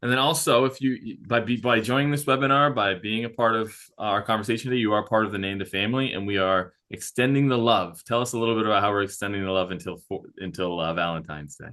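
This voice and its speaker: A man moderating a travel webinar, briskly prompting the others with questions. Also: on the low side, fairly even intonation, pushed and bright.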